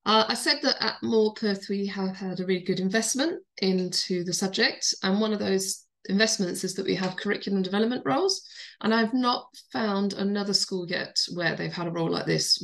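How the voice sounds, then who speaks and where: A woman teacher giving a talk with a croaky voice because she is a little ill, speaking earnestly and informally with many fillers. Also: mid-range voice, fairly bright tone, poor audio. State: croaky voice from illness. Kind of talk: recorded teacher-training talk.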